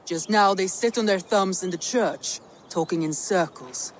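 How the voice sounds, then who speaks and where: An actress playing a confident, loyal Viking woman commander who speaks in an assured, steady way, in a video game. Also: fairly low pitch, a little slow, slight background noise, natural voice.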